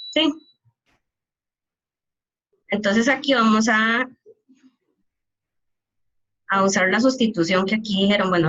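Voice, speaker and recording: A woman's voice: mid-range voice, fairly bright tone, dull audio. A woman math teacher giving an online calculus class, explaining step by step and often checking that her students follow.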